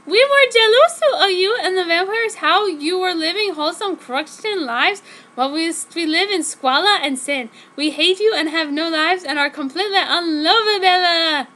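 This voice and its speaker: A woman's voice: very high voice, animated, moderate pace, bright, forceful tone, pitched above the performer's own voice, exaggerated voice. A woman voicing jealous, wretched old hag demons with overwrought hatred in a fanfiction reading. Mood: wailing, hateful. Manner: roaring, hissing, overwrought. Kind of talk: mock-dramatic fanfiction reading.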